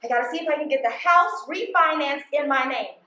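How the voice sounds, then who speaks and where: A woman playing an anxious, proud, conflicted post office supervisor in a stage play, expressive and defensive. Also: high-pitched, a little fast. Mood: worried, confessional. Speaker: stage actress. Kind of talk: live stage play acting, conversational dialogue. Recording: echoey theater stage room.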